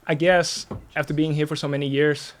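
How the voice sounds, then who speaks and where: A man who grew up in the Netherlands, speaking with a Dutch accent as an interview guest, casually explaining and telling stories with pauses. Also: on the high side, flat delivery. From Netherlands, born in Russia. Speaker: podcast guest.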